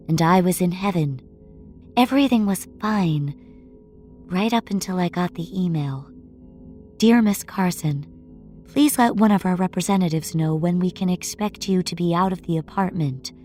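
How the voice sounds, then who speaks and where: A woman's voice: on the low side, normal speed, a little mellow, faint music behind, lightly acted. A woman performing a wry, conversational young newcomer to the city, narrating first-person horror audio fiction. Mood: formal reading. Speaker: story narrator.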